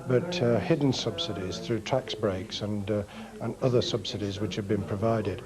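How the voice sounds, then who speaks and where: A man giving a news interview outdoors with measured, formal phrasing. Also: on the low side, fairly even intonation, crowd noise. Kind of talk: spoken news interview soundbite.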